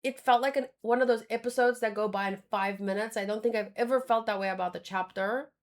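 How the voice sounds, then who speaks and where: A woman's voice: a little high, steady tone, fast talker. A woman talking casually and informally as a livestreamer giving commentary, often reworking her sentences as she goes. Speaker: streamer reacting to manga.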